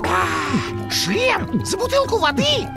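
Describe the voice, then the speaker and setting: A performer voicing an impulsive, excitable young male rabbit in a cartoon who talks eagerly. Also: very high voice, creaky, exaggerated voice. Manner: eager, impulsive, fast-talking. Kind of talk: cartoon voice acting. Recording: cartoon soundtrack with music.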